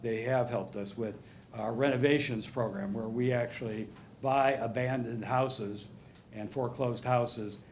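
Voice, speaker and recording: A man's voice: medium pitch, darkish tone, slight background noise. A man presenting his home repair program to a city council, earnest and rambling, often correcting himself. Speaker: nonprofit program director. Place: council chamber.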